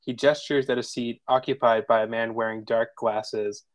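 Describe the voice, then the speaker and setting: Male narrator, mid-pitched, screenplay stage directions, table read, close dry recording. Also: steady tone, moderate pace, no room sound. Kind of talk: scripted narration of screenplay action.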